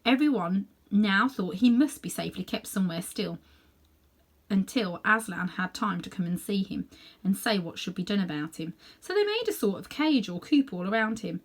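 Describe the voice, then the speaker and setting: A woman reading a children's book aloud in an animated, dramatic way, sometimes softening toward hushed tones. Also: mid-range voice, moderate pace. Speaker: storybook reader. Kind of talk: expressive read-aloud narration for children.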